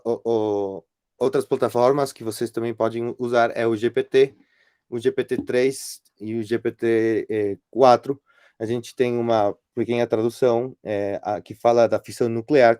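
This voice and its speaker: A middle-aged man with a Spanish accent, speaking as a non-native and giving a workshop presentation as guest lecturer, halting often with fillers and restarts. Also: medium pitch, a little mellow, dry recording, studio quality. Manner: hesitant, many restarts and fillers.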